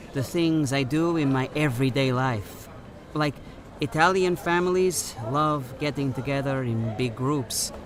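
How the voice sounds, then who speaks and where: A man's voice: medium pitch, natural voice. A man in his thirties from Brazil, a native Portuguese speaker, chatting thoughtfully as a street interviewee. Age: 30s. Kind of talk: scripted course-book interview. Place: busy street outdoors. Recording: street interview with clear crowd noise. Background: crowd and traffic.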